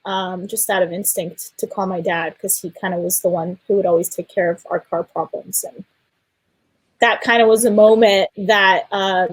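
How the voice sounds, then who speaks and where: A woman's voice: medium pitch, dry recording, poor audio. A woman telling a personal story as a filmmaker guest in an interview, in a hesitant manner. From Iran or of Iranian family. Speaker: filmmaker guest on a festival panel.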